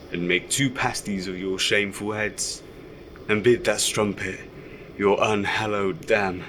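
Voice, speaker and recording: A man acts out a wry, bookish son in an audio drama. He speaks in a low register at a measured, slow pace with a theatrical edge. A clear room hum sits under the voice.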